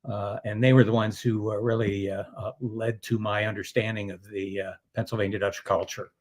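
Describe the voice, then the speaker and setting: An older man answering interview questions as a guest, halting and full of filler sounds. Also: fairly low pitch, flat delivery.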